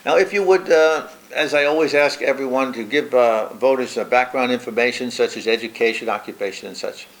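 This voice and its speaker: A man's voice: mid-range voice, low-quality recording. Man hosting a local interview. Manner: measured, with fillers in questions. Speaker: interviewer and host. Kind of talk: read introduction and interview questions.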